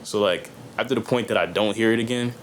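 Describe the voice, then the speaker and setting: A man's voice: fairly low pitch, fast talker, a little hiss or hum. A young man, a guest rapper on a podcast, talking in a loose, rambling way full of slang and fillers. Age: young adult. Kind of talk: casual chat.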